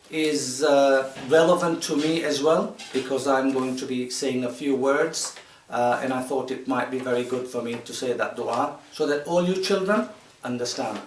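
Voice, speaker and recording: A man's voice: mid-range voice, slight background noise. Man talking from far off in an echoey room. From Tanzania. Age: 50s. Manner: measured, explanatory, with pauses and fillers. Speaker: guest speaker to children. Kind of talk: informal talk to children. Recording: echoey room, distant mic.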